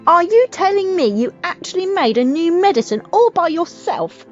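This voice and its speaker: A shrill, screechy old granny crows and bosses in a very high, swooping voice. She is a cantankerous storybook grouch, imperious and gleeful, heard on slightly dull audio with music behind. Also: very animated, normal speed. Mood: scornful, bossy. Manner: shouting, crowing, imperious.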